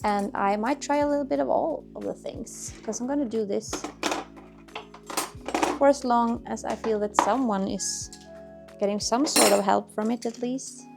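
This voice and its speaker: A Swedish-accented woman chats to camera in her home kitchen, a little high in pitch with a gravelly fry. She wanders through her thoughts, restarts sentences and hunts for words. Dishes clink and music plays clearly behind her. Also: normal speed. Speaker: cleaning vlogger. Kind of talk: casual chatty talk to camera while cleaning. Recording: music playing underneath. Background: dishes clinking, quiet music.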